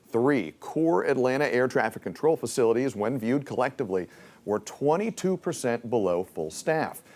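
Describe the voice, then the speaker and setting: A man's voice: lowish voice, a little mellow. A man reporting live for television news from a newsroom in a broadcast reporting style.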